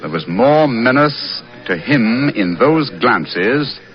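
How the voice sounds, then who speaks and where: Astute gentleman sleuth; medium pitch, lively inflection, authoritative, dull vintage radio recording. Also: faint music behind. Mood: brooding, alert. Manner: precise, deliberate, authoritative. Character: shrewd, composed English gentleman detective. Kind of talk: 1940s radio acting. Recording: muffled lo-fi 1940s radio recording.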